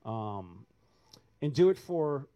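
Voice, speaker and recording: A man's voice: mid-range voice, animated, takes it slowly, warm tone. Rambling male artist on a panel. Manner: rambling, self-correcting. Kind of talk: panel discussion answer.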